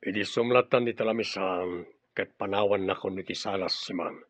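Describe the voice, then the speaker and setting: A man playing a domineering, short-tempered household boss in an Ilocano radio drama, with a gravelly, husky voice. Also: low voice, steady tone, normal speed, noisy background, natural voice. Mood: curt. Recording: dull, close radio drama recording.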